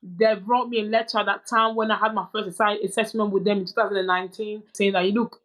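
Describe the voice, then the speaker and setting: A woman's voice: mid-range voice, steady tone, fast talker, a bit bright, rough recording. Young woman, French native, earnest podcast guest, many restarts. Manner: earnest, many restarts and "you know" asides. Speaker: guest, boxer. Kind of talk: candid conversational storytelling.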